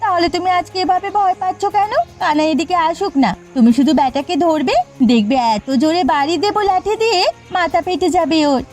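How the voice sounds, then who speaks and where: A woman's voice: very high-pitched, animated, a little fast, a little mellow, soft background music. A woman voicing a spiteful wife who goads her husband toward violence in a cartoon. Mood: scornful. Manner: goading, vicious. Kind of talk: cartoon voice acting.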